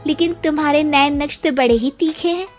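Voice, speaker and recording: A woman's voice: high-pitched, brisk, faint music behind, pitched above the performer's own voice, lightly acted. A woman voicing a gracious, wistful young lady friend in a cartoon story. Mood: pleased, wistful. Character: slim young lady friend, gracious yet wishing to be taller.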